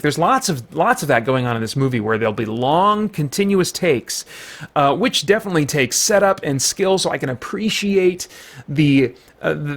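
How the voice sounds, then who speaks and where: A man hosting a casual, unscripted film review in a halting, rambling, self-correcting way. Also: on the high side.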